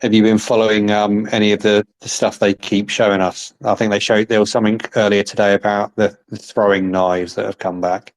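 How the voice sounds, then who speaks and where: A man's voice: fairly low pitch, little pitch movement, fairly quick, few pauses, low-quality recording. A man hosting a casual interview, playful and teasing his guest. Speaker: interviewer host.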